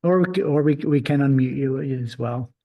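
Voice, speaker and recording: Mellow-voiced webinar host. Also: male voice, mid-range voice. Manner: affirming, brief reactions, halting restarts. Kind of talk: live webinar interview.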